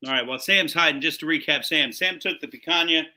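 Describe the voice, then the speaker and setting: A man with a fairly high-set voice hosts a casual live cooking demo. He talks in loose slang and hypes each dish. Clear noise and clicks sit behind the voice. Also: a little fast, bright, forceful tone. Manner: slangy, hyping the food. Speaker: host chef of a live cooking show.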